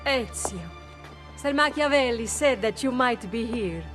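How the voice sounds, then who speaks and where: A woman's voice: on the high side, animated, unhurried, fairly bright tone, faint music behind. A woman acting a dignified, concerned older mother in a video game.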